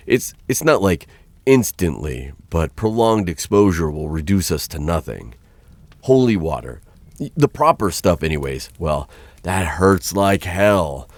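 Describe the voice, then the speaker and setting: A man's voice: very deep voice, vocal fry, no room sound, full character voice. A man playing a vampire captor who is dangerous yet awkwardly kind, talking in a hesitant, conversational way in an ASMR roleplay.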